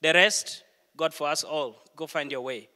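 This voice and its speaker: A middle-aged man from Uganda, preaching a sermon in a church and telling stories with earnest, deliberate pauses. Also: fairly high pitch, pushed and bright. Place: church hall. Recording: long hall reverb.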